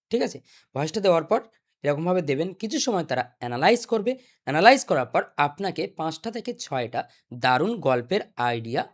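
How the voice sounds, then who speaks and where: A young man guides listeners step by step as a tutorial presenter. His voice sits high for a man and moves up and down in an animated way. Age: young adult. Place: small indoor desk studio. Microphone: desk microphone.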